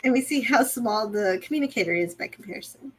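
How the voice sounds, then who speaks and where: A woman's voice: on the high side, expressive, fast talker, a little hiss or hum, low-quality recording. Woman co-host talking analytically. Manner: analytical, explanatory. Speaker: review show co-host. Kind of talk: casual episode recap and discussion.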